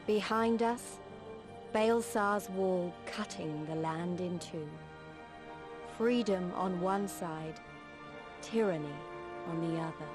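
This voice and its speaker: A woman voicing an earnest, determined young resistance fighter in a dramatic video game style, speaking in a measured, heartfelt way. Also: on the low side. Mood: solemn, reflective. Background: orchestral music.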